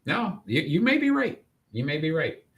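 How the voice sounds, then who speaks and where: A man's voice: a little high, big pitch swings, moderate pace, lo-fi sound. A man with a Rhode Island accent hosting a live sports talk show, chatting casually and often restarting his sentences.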